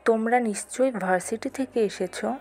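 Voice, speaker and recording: A woman's voice: a little high, moderate pace, a little mellow, soft background music, natural voice. A woman playing a kindly but firm university teacher in an audio story, composed and instructive. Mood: calm, reassuring.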